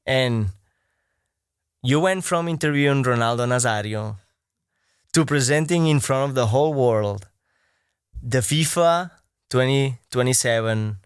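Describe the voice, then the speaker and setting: Man, interview host, easygoing, smooth clear voice, dry sound, mid pitch. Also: unhurried, long pauses, no echo. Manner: easygoing, warm intro with many "uh" and "um". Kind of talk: casual interview chat.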